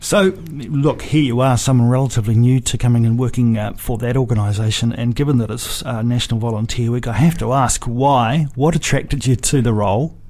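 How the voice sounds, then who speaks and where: A man hosting a conversational interview, phrasing his questions in a measured, reflective way. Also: medium pitch, fairly even intonation, a little mellow. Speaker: radio show host.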